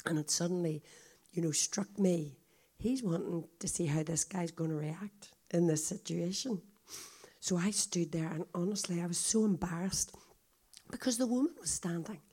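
A woman with a croaky, hoarse voice, a visiting speaker telling a story to a congregation in a chatty, confiding way. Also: lowish voice, normal speed, mellow. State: hoarse, coughing.

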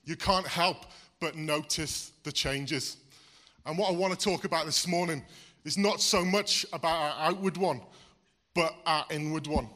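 A man delivers a sermon in a Midlands English accent touched by a Welsh lilt. His voice sits fairly high and sounds bright and clear. He talks to the congregation in a conversational way, chuckling and often saying "you see". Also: measured pace. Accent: English Midlands with a slight Welsh lilt. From England, raised in Wales. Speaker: preacher.

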